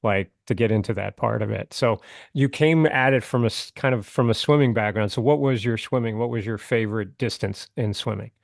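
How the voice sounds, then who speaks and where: Gravelly, encouraging male podcast host. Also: fairly low pitch, a little fast, no echo, clean studio sound. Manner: affirming, encouraging interjections. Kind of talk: casual interview.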